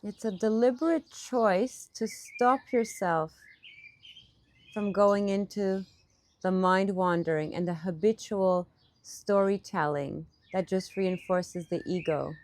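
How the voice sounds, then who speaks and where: A woman leading a guided meditation as a teacher, with gentle, pausing phrasing, speaking outdoors. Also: medium pitch, slight background noise. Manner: unhurried, gentle phrasing with pauses. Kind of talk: guided meditation, softly instructive and partly improvised. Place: outdoors in a park. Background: birdsong.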